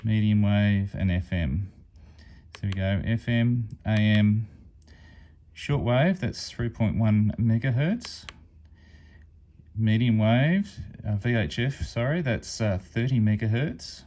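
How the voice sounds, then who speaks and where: A man's voice: on the low side, takes it slowly, mellow. A man giving an informal, unscripted step-by-step product demonstration as a hobby reviewer.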